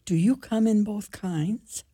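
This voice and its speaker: A woman's voice: medium pitch, slow pace, warm tone, husky, no echo, studio quality. A woman playing a fussing, pious nun in a radio play. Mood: fussing, wry. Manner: lilting, breathless, pious exclamations. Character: spry, fussing Irish-style nun, adult woman. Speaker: actor in a community radio play.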